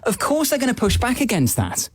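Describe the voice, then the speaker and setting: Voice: mid-range voice, huge pitch swings, a little fast, breathy edge. A person in a heated debate, answering defensively and stumbling over words.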